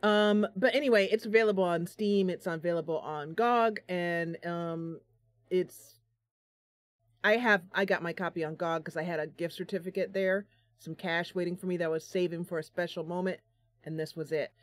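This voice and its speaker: A woman's voice: on the low side, steady tone, moderate pace, no echo. Chatty, bubbly woman streamer, close dry sound. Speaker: live stream host, gamer. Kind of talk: casual live stream chat.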